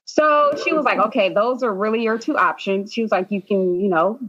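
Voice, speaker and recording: A woman's voice: medium pitch, a little fast, fairly bright tone, highs cut off. A young woman from Haiti, a nurse practitioner, chatting as a podcast guest with many "um"s and "like"s. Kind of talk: casual interview.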